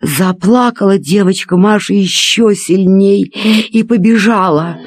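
A woman reads a story for children with deliberate, dramatic expression. Her delivery is slow and her voice has a husky grain. It comes from a cassette transfer, with faint music behind her. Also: medium pitch. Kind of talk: expressive scripted children's narration.

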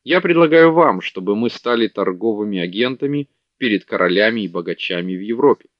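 A man's voice: on the low side, animated, fairly quick, no echo, close to an everyday voice. A man voicing an eager, clever young male scholar making a persuasive case, on a dull, dry audiobook recording. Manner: earnest, persuasive.

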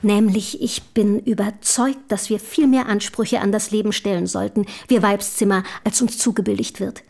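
An actress in a German historical radio drama playing an earnest, outspoken early feminist from the city, speaking formally and rhetorically. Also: female voice, medium pitch, steady tone, normal speed, vocal fry, darkish tone, lightly acted. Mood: fervent, admiring.

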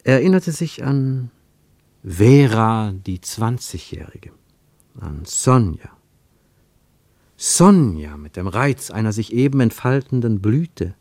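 A man narrating a radio drama in a measured, expressive literary storytelling manner. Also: fairly low pitch, dry recording, studio quality.

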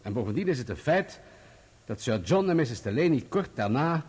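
A guarded journalist being questioned in a courtroom radio play. He answers defensively and evasively in a rough-edged, mid-range voice with lively intonation. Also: mid-range voice, brisk. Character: guarded male journalist on the witness stand, stubborn.

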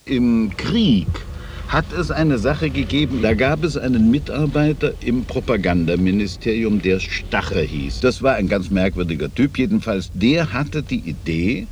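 A man's voice: mid-range voice, expressive, unhurried. An older man recalling events as an eyewitness in a recorded interview. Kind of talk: spoken recollection in an interview. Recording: faintly noisy archive interview.

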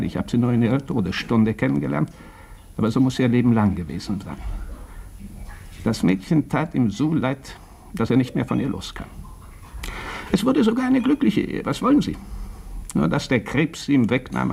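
An actor playing a candid, worldly doctor in a radio drama, speaking in a confiding, insistent way with rhetorical questions. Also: male voice, medium pitch, creaky, a little mellow, breathy edge, heavily acted.

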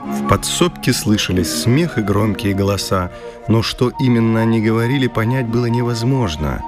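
A man's voice: on the low side, expressive, moderate pace. A man narrating a scripted radio play in a literary, dramatic style. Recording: music under the voice. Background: music.

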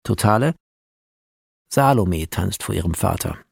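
A man narrating a radio drama, reading out film scene directions in a terse, deliberate, descriptive manner. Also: sits low, animated, creaky, husky, dry recording, studio quality.

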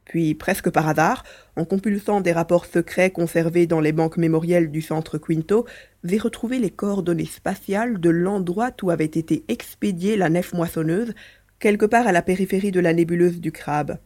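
A woman's voice: fairly low pitch, moderate pace, a little mellow, natural voice. A woman voicing a mischievous male mouse-beaver alien, a chatty, teasing adventurer in a French audio drama. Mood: earnest explaining.

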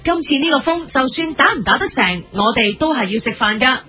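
A woman's voice: high voice, expressive, brisk, sharp tone, faint music behind. A woman playing a formal news anchor in a parody bulletin, with a Hong Kong Cantonese accent.

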